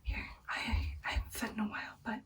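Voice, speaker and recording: A woman playing a caring, anxious vampire girlfriend in a whispered ASMR roleplay, speaking softly and haltingly in a soothing way. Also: distant mic, natural voice, low-quality recording. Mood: worried, reassuring. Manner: soft, hushed, halting, soothing personal attention.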